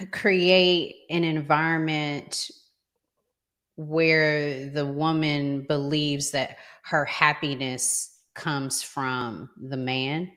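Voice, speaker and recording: An American woman hosting a podcast, discussing in a casual but measured, reflective way. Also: sits low, level tone, a bit bright. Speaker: podcast host.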